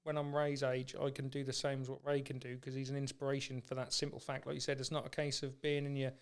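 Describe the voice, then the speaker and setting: A man's voice: mid-range voice, monotone, fast talker, clean tone. A man hosting a podcast in casual chat, with an informal, matey way of talking. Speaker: podcast host.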